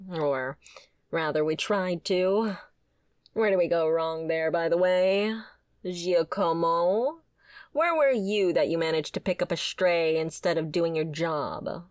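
A woman's voice: on the low side, takes it slowly, dry recording, studio quality. A woman playing a wry, flirtatious female mafia boss with a sardonic, conversational manner in a scripted ASMR roleplay. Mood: wry, explaining.

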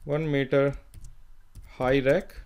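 A man talks through a software tutorial at an unhurried pace, thinking aloud and restarting his sentences as he goes. His voice sits at a medium pitch, and keyboard typing clicks in the background. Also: little pitch movement, smooth. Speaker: software trainer. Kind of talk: screen-recorded tutorial walkthrough.

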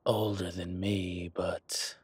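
A man playing an arrogant, luxury-loving dragon mob boss in an intimate roleplay audio, speaking in a deliberate, smooth-talking way with a rough voice. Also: low voice, flat delivery, no room sound, heavily acted. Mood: haughty, disdainful. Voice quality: husky, rough. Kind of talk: intimate scripted roleplay audio, one-sided conversation.

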